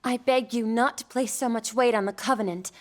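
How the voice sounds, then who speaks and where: A woman's voice: fairly high pitch, fairly even intonation, a little fast, lightly acted. A woman playing a resolute young princess in a fantasy game, formal, composed and earnest. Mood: earnest appeal. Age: young adult. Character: poised, resolute young princess, diplomatic and selfless. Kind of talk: cinematic fantasy game acting. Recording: music behind.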